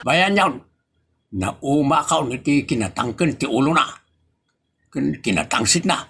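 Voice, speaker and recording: A man's voice: medium pitch, expressive, a little slow, creaky, fairly bright tone, a little hiss or hum. A man voicing a grumbling middle-aged husband and father in a comedic audio drama, scolding and teasing his family.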